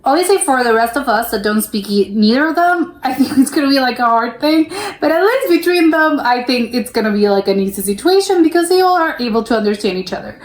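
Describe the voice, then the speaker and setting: A woman's voice: high voice, animated, fast, hardly any pauses. A young woman from the United States hosting a reaction video, rambling casually with lots of "like" fillers and restarts. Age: young adult. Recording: roomy echo.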